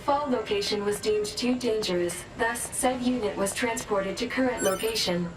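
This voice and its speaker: A woman voicing a formal, dutiful robotic support unit in a video game, speaking in measured, emotionless phrases. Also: medium pitch, close to an everyday voice. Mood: clinical, flat. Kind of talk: scripted game status reporting. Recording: echoey, with music behind.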